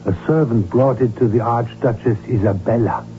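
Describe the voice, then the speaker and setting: A man's voice: medium pitch, moderate pace, slight background noise, natural voice. Formal, cautious royal physician played by a man. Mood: grave recounting. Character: cautious, concerned male royal physician and confidant. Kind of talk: radio drama acting and in-character narration. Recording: dull vintage radio broadcast, faint music.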